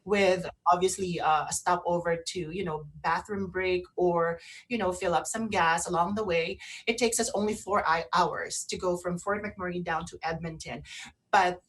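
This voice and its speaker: A woman co-hosting a casual radio chat, speaking haltingly and often repeating and rephrasing herself. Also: lowish voice, fairly even intonation, low-quality recording. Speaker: radio show co-host. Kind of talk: casual talk show chat.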